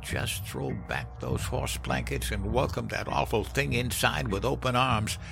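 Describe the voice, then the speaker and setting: A man voicing a gruff, cynical old country man with a folksy drawl, telling of hard times in a horror story. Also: mid-range voice, very animated, measured pace, music in the background, voice pushed up, heavily acted. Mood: haunted, confessional. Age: older. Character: cynical old country man recounting grim Depression-era hardship. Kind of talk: dramatized horror story narration.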